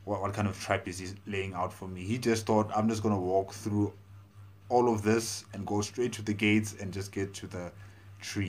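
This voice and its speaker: A man in his thirties giving casual reaction commentary, thinking aloud in a halting, repetitive way. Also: low-pitched. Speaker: reaction video host. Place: small plain room indoors. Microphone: lapel mic.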